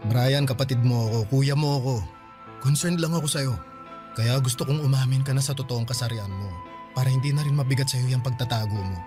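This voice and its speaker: A man's voice: mid-range voice, a little fast, over music, close to an everyday voice. A man playing a teasing but earnest older brother in a Filipino radio drama, talking in a coaxing way. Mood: earnest, concerned.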